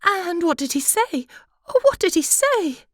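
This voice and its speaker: A woman acts out a little female butterfly, an excitable storybook wife who nags. Her voice is very high and fluttery, leaping about in pitch. It is recorded dry. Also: measured pace, no room sound, exaggerated voice. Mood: prodding. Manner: fluttery, emphatic.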